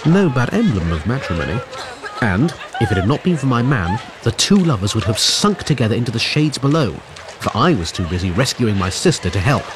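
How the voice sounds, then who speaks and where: An actor playing a wry, educated young gentleman narrating a period radio drama in literary, measured phrases. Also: mid-range voice, big pitch swings, noisy background, natural voice.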